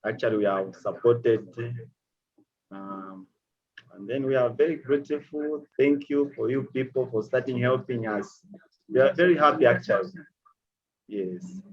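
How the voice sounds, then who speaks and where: A man from Zambia with a Zambian accent, speaking halting, grateful remarks to a speaker with many pauses. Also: mid-range voice. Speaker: audience member asking questions. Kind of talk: casual spoken remarks. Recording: dull lo-fi call audio.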